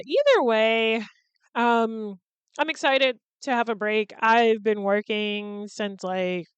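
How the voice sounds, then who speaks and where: Slow, very smooth woman. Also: mid-range voice, dry recording. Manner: rambling and candid, with frequent "like" and casual swearing. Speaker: podcast host. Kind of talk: solo podcast chat.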